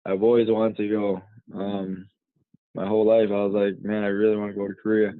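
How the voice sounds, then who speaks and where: A man in his twenties from the United States rambles casually as a podcast guest, leaning on "you know" between thoughts. His voice sits very low and barely rises or falls. It comes through a thin, phone-like line with a dry sound. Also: monotone, fairly quick, no room sound. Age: 20s. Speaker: guest, fighter.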